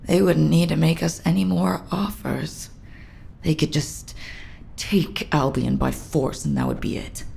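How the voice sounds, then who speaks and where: A weary woman captain, her low voice heavy with fry and slow to come. She sounds bitter and self-reproaching, a soldier haunted by survival in a dramatic game cutscene. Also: steady tone, mellow, a little hiss or hum, full character voice. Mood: bleak. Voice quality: low, gravelly. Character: weary, bitter female military captain, guilt-ridden survivor.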